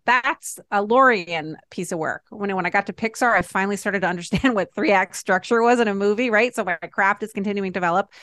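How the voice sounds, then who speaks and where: A woman screenwriter co-hosting a talk show, speaking about her own work in a reflective, halting way. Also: medium pitch, a bit bright, no echo. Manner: self-reflective, halting, many restarts. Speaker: podcast co-host and screenwriter. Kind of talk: casual reflective chat.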